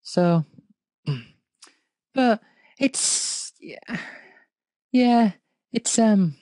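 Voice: mid-range voice, extremely slow, dark tone, no echo, poor audio. A person reading fan fiction aloud casually, with hesitant, dry critical asides. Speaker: fanfic reader and commentator.

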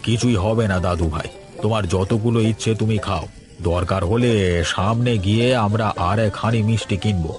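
A man's voice: fairly low pitch, a little fast, music in the background, close to an everyday voice. An actor voicing an elderly village father and grandfather in a cartoon story, folksy and talkative. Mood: doting, content. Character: elderly poor father and doting, carefree grandfather.

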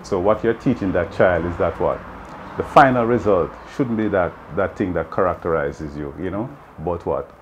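Jamaican math teacher in his fifties, very low voice, earnest and explanatory, light background noise outdoors. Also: normal speed, few pauses, highs cut off. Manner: earnest, explaining with many "you know"s. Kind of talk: interview answers. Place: open veranda outdoors.

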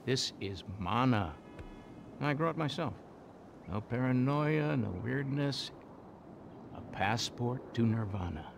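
A man's voice: medium pitch, animated, takes it slowly, creaky, pauses often. A man acting in a video game cutscene as a zealous, rambling stoner activist who campaigns for legalization in an earnest, grandiose way. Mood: mellow, salesmanlike. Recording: game scene with street noise.

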